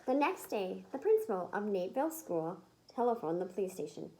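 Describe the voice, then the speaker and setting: Animated woman reading a children's story. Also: mid-range voice, fairly quick, a little hiss or hum, rough recording. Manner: animated, emphatic, acts out the dialogue. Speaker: read-aloud storyteller. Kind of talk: expressive children's picture-book read-aloud.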